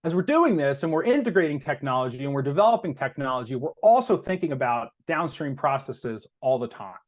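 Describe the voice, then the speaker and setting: A man giving an explanatory business presentation as a company executive, phrasing deliberately and stressing key terms. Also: mid-range voice, lively intonation.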